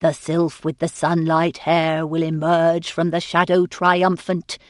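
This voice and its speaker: A man's voice: high-pitched, animated, takes it slowly, dry recording, clean studio sound. A woman doing a growling showman medium. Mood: ominous. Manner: dramatic, growling pronouncements. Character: theatrical male spirit-writing medium, charlatan.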